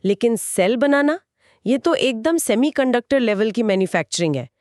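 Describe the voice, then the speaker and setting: A woman's voice: a little high, expressive, moderate pace, darkish tone, no room sound, studio quality. A woman with an Indian accent in a conversational explainer podcast, speaking in an assured, explanatory way as co-host. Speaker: co-host explaining company financials.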